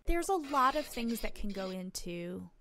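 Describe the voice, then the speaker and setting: A woman's voice: mid-range voice, sing-song, measured pace, a bit bright. A woman playing a chatty, helpful small-town reporter, friendly and explanatory, in a tabletop roleplaying game. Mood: earnest, instructive. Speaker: game master.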